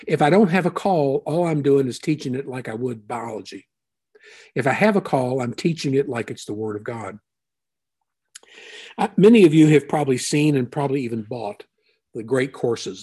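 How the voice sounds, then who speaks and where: A man preaching a conversational sermon as a pastor, unhurried and thinking aloud with frequent asides. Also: mid-range voice, dark tone, breathy edge.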